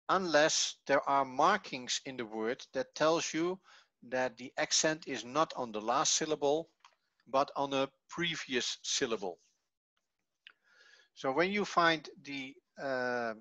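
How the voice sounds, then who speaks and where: A man giving an online language lesson, reading from a textbook and explaining it in a halting, explanatory way. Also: mid-range voice, pushed and bright, no echo. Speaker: Hebrew teacher. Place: online video call.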